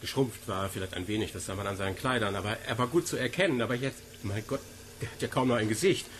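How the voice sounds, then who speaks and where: A man's voice: fairly low pitch, fast talker, fairly bright tone, slight background noise, natural voice. An actor playing a flustered, brusque male scientist in a German radio drama, agitated and curt. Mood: appalled.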